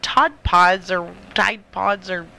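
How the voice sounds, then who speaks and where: A woman's voice: mid-range voice, slow pace, gravelly, bright, forceful tone. A woman co-hosting a talk show with casual banter and a blunt, opinionated way of talking.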